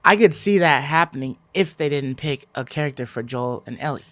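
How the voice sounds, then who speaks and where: A man's voice: a little high, a little fast, no echo. A man co-hosting a podcast, talking casually in a slangy, conversational way. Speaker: podcast co-host. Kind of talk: casual discussion of games and TV.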